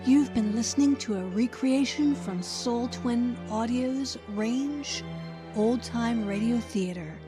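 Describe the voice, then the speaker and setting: A woman's voice: a little high, slow pace. A woman announcer reading scripted closing credits for a radio theater program. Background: music.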